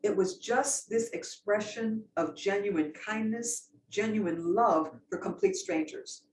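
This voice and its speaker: A woman's voice: mid-range voice, lively intonation, takes it slowly. A woman pastor on a panel, telling a story in an earnest, reflective way. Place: event room. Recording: roomy distant sound with faint noise.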